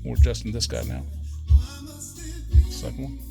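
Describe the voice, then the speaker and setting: Male tutorial presenter, creaky voice, methodical and matter-of-fact, casual hands-on demo with music behind. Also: on the low side, wildly swooping pitch, normal speed. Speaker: presenter demonstrating equipment calibration.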